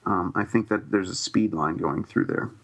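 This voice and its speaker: Gravelly low-voiced man on a podcast. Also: moderate pace, a bit bright. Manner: hedging, thinks aloud with many ums. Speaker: podcast co-host. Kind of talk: casual chat.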